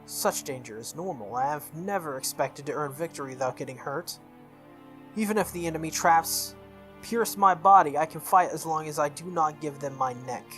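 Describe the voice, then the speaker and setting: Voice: mid-range voice, moderate pace, a bit bright, soft background music, close to an everyday voice. A game streamer voicing a proud, stubborn young female warrior servant with formal, resolute speech in a visual novel.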